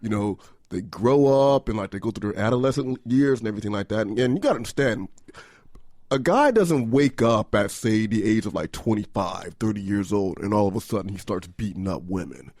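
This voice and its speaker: A man's voice: on the low side, lively intonation, a little fast, darkish tone, no echo, studio quality. A man speaking as a guest on a radio panel, talking casually with many fillers. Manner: conversational, lots of fillers like "you know" and "like".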